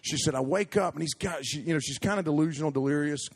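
A man preaching a sermon, telling a story with animated, jokey asides and frequent self-interruptions. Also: on the high side, quick pace, breathy edge.

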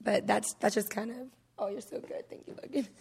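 A woman in her thirties speaks with a raspy, husky voice and a gravelly edge. Her testimony comes out halting and candid, full of restarts. She talks from a conference stage. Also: medium pitch, steady tone, a little mellow. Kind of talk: personal testimony talk.

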